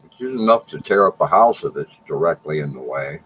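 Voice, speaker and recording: Man with a very deep voice, matter-of-fact, explains calmly, faint hiss. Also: a little fast, low-quality recording. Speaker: livestream co-host. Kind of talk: casual chat.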